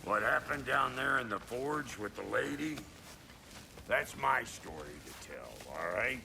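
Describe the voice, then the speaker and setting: Gravelly, brash dwarf blacksmith. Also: male voice, mid-range voice, expressive, a little slow, distant mic, a little hiss or hum, heavily acted. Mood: guarded, serious. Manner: folksy, brash. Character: gruff, cocky, wisecracking dwarf blacksmith. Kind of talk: video game character acting. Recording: loud game music and machinery behind.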